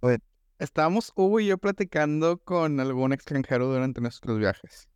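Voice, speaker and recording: Casting: young Monterrey man, podcast co-host, smooth clear voice, rambling and slangy, dry close mic. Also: fairly high pitch, moderate pace, darkish tone, no echo. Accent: northern Mexican (Monterrey). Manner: slangy, rambling, says "güey" constantly. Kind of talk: casual chat.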